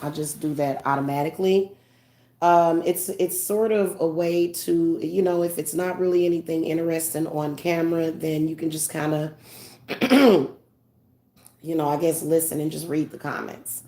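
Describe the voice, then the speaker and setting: Stuffed-up lady medium talking slow and low, lots of ums and restarts. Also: steady tone, mellow. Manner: halting, many fillers and restarts. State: congested. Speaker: spiritual medium vlogger. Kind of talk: casual emotional monologue.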